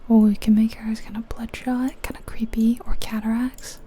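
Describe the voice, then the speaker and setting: A woman whispering softly and breathily in a gentle, unhurried ASMR style, chatting casually as she thinks aloud over a game. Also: on the high side, level tone, slight background noise. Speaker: ASMR video creator, host.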